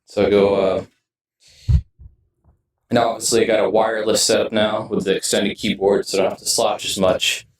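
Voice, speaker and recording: Low-voiced man rambling, echoey and distant. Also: level tone, slight background noise. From United States. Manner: rambling, halting, often trailing off mid-sentence. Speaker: video game streamer. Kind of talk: casual rambling vlog talk. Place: indoors in his streaming room setup. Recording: roomy echo, distant mic, music behind.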